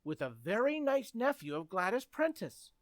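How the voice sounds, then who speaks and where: A woman's voice: medium pitch, sing-song, normal speed, no room sound, studio quality, natural voice. A woman playing an eager, coaxing, earnest teenage boy in an old-time radio comedy.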